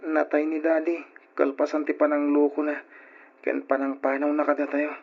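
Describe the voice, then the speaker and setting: A dull vintage radio recording of a young son pleading with his mother. His voice is lightly pitched and husky, plaintive. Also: completely flat delivery, moderate pace. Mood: sad pleading. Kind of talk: old Ilocano radio drama acting. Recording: dull, muffled old radio drama recording.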